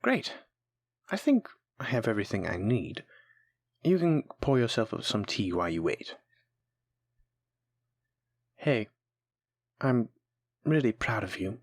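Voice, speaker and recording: A man playing a kind, encouraging alchemy teacher in a fantasy audio roleplay, speaking gently and patiently to the listener. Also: mid-range voice, very animated, slow, pauses often, slightly rough, dry recording, full character voice. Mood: warm, reflective. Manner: gentle, patient, reassuring instruction. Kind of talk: audio roleplay acting, spoken intimately to listener.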